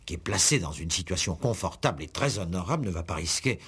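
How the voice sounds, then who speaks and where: An actor playing a troubled investigating judge in a 1960s French radio play, musing and questioning hesitantly. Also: male voice, low voice, lively intonation, fast talker, fairly bright tone, breathy edge, full character voice. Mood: baffled. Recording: old radio drama recording.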